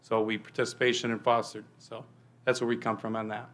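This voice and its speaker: A man, a police chief, presenting an update to a village board in a meeting room, talking loudly with many fillers. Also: fairly low pitch, flat delivery, a little fast. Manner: speaks loudly without a microphone, filler-heavy.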